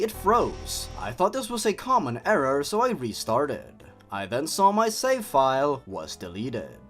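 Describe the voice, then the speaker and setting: A man who is not a native English speaker, hosting scripted commentary and horror story readings in an expressive way, with sardonic asides. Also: mid-range voice. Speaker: host reading and reviewing horror stories. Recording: music behind the voice. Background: background music.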